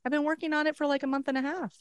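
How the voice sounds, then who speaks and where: A woman's voice: high-pitched, little pitch movement, rapid-fire, dry recording. Frank, joking woman on a podcast. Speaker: podcast co-host. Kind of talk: casual chat.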